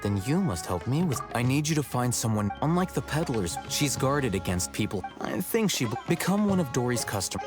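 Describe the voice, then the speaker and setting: Game role, male actor: calculating young male scholar, self-assured, measured delivery, medium pitch, blunt and aloof. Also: big pitch swings, lightly acted. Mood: businesslike. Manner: measured, articulate, aloof explaining. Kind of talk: video game voice acting. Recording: music under the dialogue.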